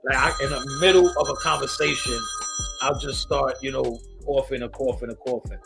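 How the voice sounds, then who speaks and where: A man hosting a casual livestream, raspy and coughing, hyping up chat with sing-song shout-outs. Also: medium pitch, steady tone, normal speed, rough recording. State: coughing fits. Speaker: host. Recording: loud hip hop music behind. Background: hip hop music.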